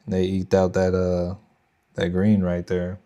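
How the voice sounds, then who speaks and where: A man's voice: sits low, monotone, unhurried, mellow, clear, resonant tone. A young man with a Texan accent, casually thinking aloud and chatting with viewers on a trading livestream. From Texas. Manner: thinks aloud, loose slangy talk to chat. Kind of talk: casual live market commentary.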